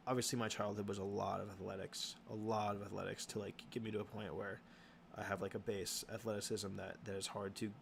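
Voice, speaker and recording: A young man hosting a casual livestream Q and A, answering chat questions in a halting way with many ums and restarts. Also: on the low side, level tone, creaky, a little hiss or hum.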